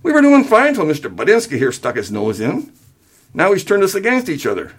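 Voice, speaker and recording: A man's voice: medium pitch, very animated, normal speed, fairly bright tone, husky, exaggerated voice. A man reading a story aloud, voicing a stubborn older father who is easily rattled and speaks in an emphatic bluster. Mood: indignant. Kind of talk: acted dialogue in a story reading.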